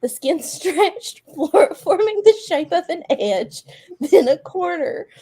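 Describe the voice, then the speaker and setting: A woman's voice: extremely high pitch, lively intonation, takes it slowly, dark tone. A woman giving a dramatic reading of a story, mixed with casual chat among friends. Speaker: guest reader on a live show.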